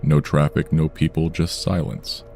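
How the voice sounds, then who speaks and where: A man reading true scary stories aloud in a measured, somber storytelling delivery. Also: rumbling low, flat delivery, creaky, darkish tone, soft background music. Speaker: horror story narrator. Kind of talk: scripted true-crime horror narration.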